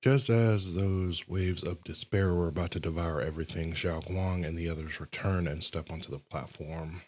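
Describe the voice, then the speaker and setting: A man's voice: sits low, steady tone, moderate pace, a little mellow. A man livestreaming a game, reacting casually and reading story text aloud. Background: game music.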